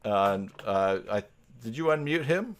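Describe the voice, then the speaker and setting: A man's voice: lowish voice, lively intonation, normal speed, crowd noise. A man hosting a casual live stream over a video call, chatting in a rambling, jokey way.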